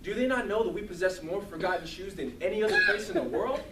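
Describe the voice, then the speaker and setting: A man's voice: high-pitched, expressive, quick pace, voice pushed up. A man voicing a small elderly village man who sets challenges with formal, portentous questions in a storytelling speech. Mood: puzzled. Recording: roomy, distant mic, clearly noisy.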